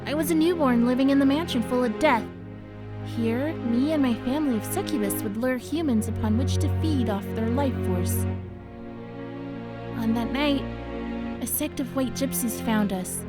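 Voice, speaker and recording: A woman voicing a young succubus girl in an animated series, wistful and devoted, with a melodramatic, plaintive delivery. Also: sits high, moderate pace, lightly acted. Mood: sorrowful, solemn. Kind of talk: animated web series voice acting. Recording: music behind the voice.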